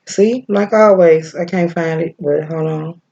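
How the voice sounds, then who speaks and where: Gravelly laid-back Midwestern man. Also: fairly high pitch, a little slow, mellow, muffled recording. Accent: Midwestern American. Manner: laid-back and chatty, stops often with fillers to search for a name. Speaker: breathwork and yoga teacher. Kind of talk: casual spiritual teaching, rambling.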